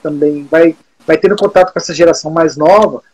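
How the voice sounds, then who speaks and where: A man in his forties with a Brazilian Portuguese accent and a husky, fairly high voice. He speaks in a reflective, unhurried way, often restarting his sentences and filling pauses as he thinks aloud during an interview. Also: a little mellow, poor audio. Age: 40s. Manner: thoughtful, many fillers and restarts, reflective. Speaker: music teacher and guest musician. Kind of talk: casual interview talk.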